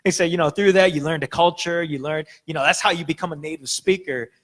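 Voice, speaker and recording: A man's voice: a little high, quick pace, a bit bright. A young adult man preaching a sermon as a pastor, talking casually with fillers and restarts. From United States. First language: Korean. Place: church sanctuary.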